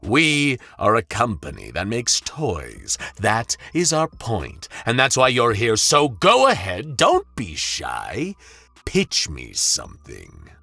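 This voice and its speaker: A man doing a booming, gravelly toy tycoon. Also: huge pitch swings, slow pace, sharp tone, no echo, exaggerated voice. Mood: demanding. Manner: booming, theatrical, demanding. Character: imperious male toy-company boss in a top hat.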